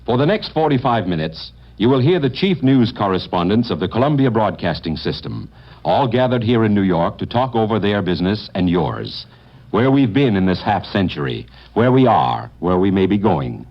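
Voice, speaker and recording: A man's voice: fairly low pitch, big pitch swings, normal speed. A man reading a formal sponsor announcement as a radio announcer, with a polished, emphatic delivery. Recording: dull lo-fi 1950 radio broadcast.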